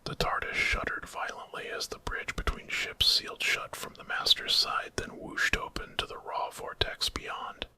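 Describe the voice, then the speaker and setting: Whispering man narrating horror, bright and breathy. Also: moderate pace, few pauses. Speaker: storyteller.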